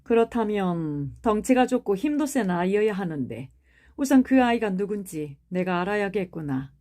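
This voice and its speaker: Lady reading as a mild nervous doctor guy, soft and careful. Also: mid-range voice, lively intonation, moderate pace, close to an everyday voice. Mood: cautious. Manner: hesitant, mild. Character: timid middle-aged male doctor. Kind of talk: audiobook character reading.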